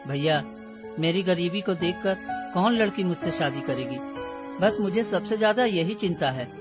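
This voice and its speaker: A man plays a poor young woodcutter from a children's cartoon tale. He sounds humble, anxious and plaintive, deferring like a younger brother, with clear music playing behind him. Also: sits high, moderate pace, natural voice. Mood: worried, pleading. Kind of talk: dramatised children's story.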